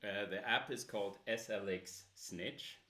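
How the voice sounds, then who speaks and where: A man with a loud voice presenting a smartphone app in a casual demo, with many filler pauses. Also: lowish voice, steady tone.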